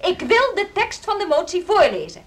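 An actress playing an unyielding teacher in a radio drama, speaking formally, insistently and combatively. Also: female voice, extremely high pitch, wildly swooping pitch, normal speed, over a noisy crowd, heavily acted. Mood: firm. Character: militant, unyielding woman teacher pushing her case. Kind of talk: Dutch radio drama acting.

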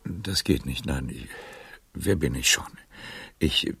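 An actor playing a weary, resigned man over seventy in a literary radio play, speaking haltingly and poetically with a worn voice. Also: animated, bright, forceful tone, faint music behind, full character voice. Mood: resigned, melancholy. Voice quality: very deep, gravelly, worn voice. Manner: slow, halting, poetic delivery.

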